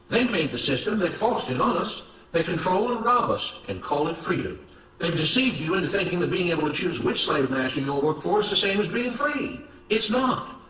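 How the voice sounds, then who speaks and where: A man's voice: high voice, a bit bright, voice pushed up. A man voicing a defiant enslaved plantation worker in a story, rallying others with emphatic, impassioned protest. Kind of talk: dramatized story reading. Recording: roomy, noisy, distant microphone.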